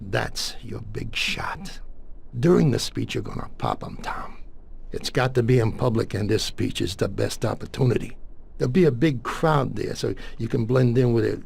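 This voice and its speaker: A man acting a cool, streetwise mob consigliere in a video game, briefing in slang with a raspy, gravelly voice. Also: mid-range voice, moderate pace. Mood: calm, instructing.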